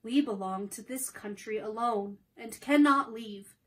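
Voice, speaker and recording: A woman voicing a dignified winged monkey king in a storybook reading, speaking slowly and formally. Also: a little high, lightly acted. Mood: grave.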